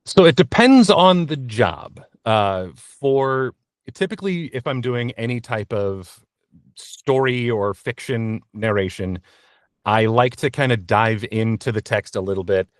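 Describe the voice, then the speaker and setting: A man's voice: medium pitch, measured pace, no room sound. Male interview guest, conversational and practical, explains things plainly, close dry recording. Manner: practical, explanatory advice-giving.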